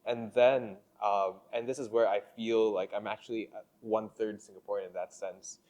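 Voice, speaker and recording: Young guy from the philippines hosting a podcast, lowish voice, slow with lots of ums. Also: steady tone, a little mellow, highs cut off. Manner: measured, hesitant, many fillers. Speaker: podcast host. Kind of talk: casual interview conversation.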